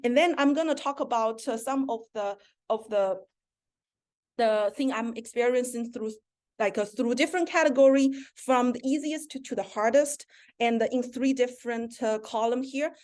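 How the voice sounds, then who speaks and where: Woman speaker, somewhat high voice, halting delivery with restarts, heard over a video call. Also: darkish tone. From China. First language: Mandarin. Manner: halting, frequent restarts and self-corrections. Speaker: seminar speaker, professor. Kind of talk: virtual seminar talk.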